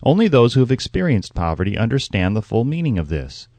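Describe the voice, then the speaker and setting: A man reading an audiobook as scripted narration, in a measured, formal and emphatic way. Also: medium pitch, big pitch swings, mellow, no echo.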